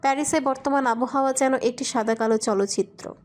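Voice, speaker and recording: A woman's voice: high voice, brisk. A woman reading scripted romantic fiction as a narrator.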